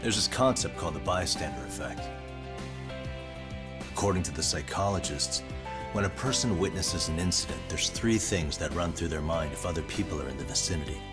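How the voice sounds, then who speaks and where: An actor playing a calm, wry private detective in his thirties, explaining things in a measured, casual way in a game drama dub. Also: deep voice, loud music behind the voice, lightly acted. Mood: composed, explaining.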